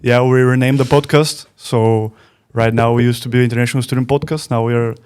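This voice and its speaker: A man's voice: mid-range voice, fairly even intonation, darkish tone, smooth. A man hosting a podcast, playfully asking his guest questions in casual chat. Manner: playful, asks quick questions. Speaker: podcast host.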